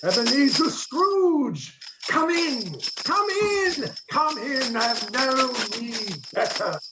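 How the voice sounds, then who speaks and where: High-pitched, booming jolly giant spirit. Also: male voice, very animated, slow, over loud music, heavily acted. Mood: hearty, commanding. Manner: declamatory, commanding. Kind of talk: radio play acting. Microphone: video call. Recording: echoey, noisy video-call audio.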